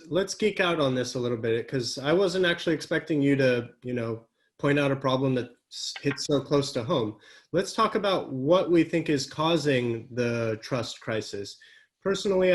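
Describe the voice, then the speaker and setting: Male interview host, mid-range voice, curious and opinionated, conversational. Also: medium pitch. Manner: curious, lays out his own opinion before asking. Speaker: podcast host. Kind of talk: casual interview questions.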